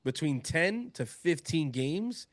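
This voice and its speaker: A man with a cold who sounds nasal, co-hosting a casual sports chat in a relaxed, conversational way. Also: on the high side, slow. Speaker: podcast co-host.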